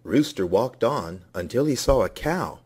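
Guy narrating a kids story, real slow, lively pitch, bit rough voice. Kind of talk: scripted children's story narration.

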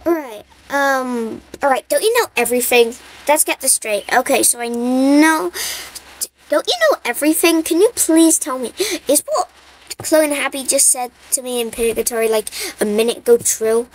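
A woman playing an excitable, skeptical young hero in an amateur cartoon, chatty and exclamatory. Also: high voice, normal speed. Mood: suspicious. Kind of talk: amateur animated series acting.

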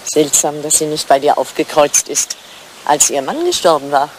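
An older woman playing a sharp-tongued, teasing English widow of high standing in a German radio play, with a dry, probing manner. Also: lively intonation, normal speed, a little hiss or hum, rough recording.

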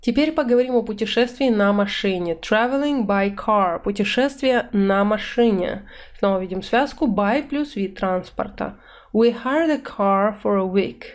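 Woman teacher explaining vocabulary. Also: medium pitch. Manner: explains in Russian, reads English phrases slowly. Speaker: English teacher. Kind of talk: online vocabulary lesson. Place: indoor room, webcam in corner of slides.

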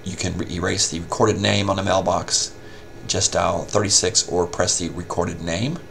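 A man giving a casual, step-by-step software tutorial as a help desk instructor. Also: low voice, flat delivery, moderate pace, vocal fry, a little hiss or hum. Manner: informal step-by-step explaining.

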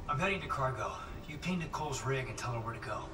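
An actor playing a practical, determined space engineer who stays calm under pressure in a game. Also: male voice, medium pitch, fast talker, roomy sound, far from the mic, background noise, close to an everyday voice. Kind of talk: game acting. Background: music.

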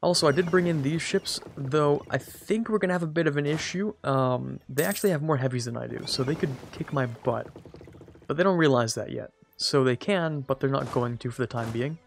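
A man's voice: fairly high pitch, a little fast, creaky, slight background noise. A young man hosting a strategy game let's-play, his voice slightly strained, chatting casually and talking to the computer rivals as he plays. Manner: chatty, self-deprecating, talks to the computer rivals. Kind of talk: casual gameplay commentary.

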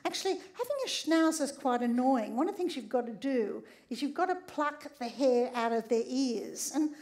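Older woman, slightly croaky, high voice, lively stage lecture with frequent audience check-ins. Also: moderate pace. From Australia. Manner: conversational, often tags lines with "right?". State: a bit croaky. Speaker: speaker giving a public talk. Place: large theatre stage.

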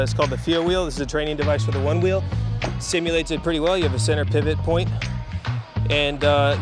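A man explaining a training device in a loose, conversational way with fillers. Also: fairly high pitch. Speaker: trick instructor. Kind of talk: casual explanation.

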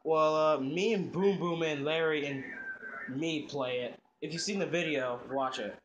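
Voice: mid-range voice, a little slow, dull audio, a little hiss or hum, natural voice. A performer voicing a grumpy Koopa brother in a toy skit, muttering curtly and dismissively. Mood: reluctant.

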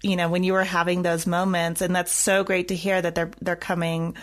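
A woman podcast host with a somewhat low voice. She speaks in a casual, conversational way, as an interviewer chatting with a guest. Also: level tone, fairly quick.

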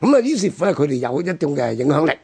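A man with a gravelly, husky voice and a Hong Kong Cantonese accent, discussing history conversationally as a radio host. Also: fairly high pitch, very animated, rapid-fire, dark tone.

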